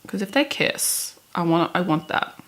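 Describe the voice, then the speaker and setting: A woman's voice: lowish voice, expressive, unhurried. A woman in her twenties giving dramatic readings of fan fiction with chatty, gushing asides, in a theatrical, giggly manner. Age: 20s. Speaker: book vlogger. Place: bedroom, indoors.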